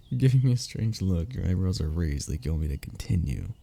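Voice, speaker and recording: A young man playing a wistful, lovestruck childhood best friend in an intimate audio roleplay, speaking in a halting, sighing, confessional way. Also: deep voice, lively intonation, creaky, mellow, breathy edge, exaggerated voice. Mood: hesitant, wistful. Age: young adult.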